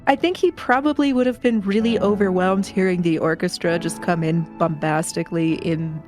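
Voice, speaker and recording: A woman's voice: fairly low pitch, fairly even intonation, normal speed, darkish tone, soft background music. A woman playing a tabletop roleplaying game, talking casually in a wry, joking way. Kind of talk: casual banter.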